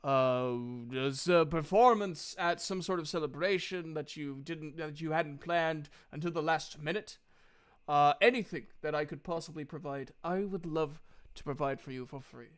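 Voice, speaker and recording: A man voicing an earnest, devoted bard husband who speaks haltingly and sincerely in a tabletop game. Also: a little high, voice pushed down, natural voice. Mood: earnest, grateful.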